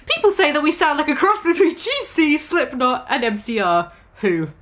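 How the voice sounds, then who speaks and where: A woman with a high, very animated voice, reading a story in a mock-dramatic way. She breaks off often into sarcastic, laughing asides. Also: normal speed. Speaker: comedic reader.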